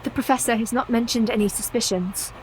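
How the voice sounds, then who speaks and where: Young Victorian wife female actor: high, precise, earnest delivery for gothic radio drama, faint noise behind. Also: brisk, close to an everyday voice, poor audio. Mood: wistful. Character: brave, composed young Victorian wife in trance. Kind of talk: radio play acting.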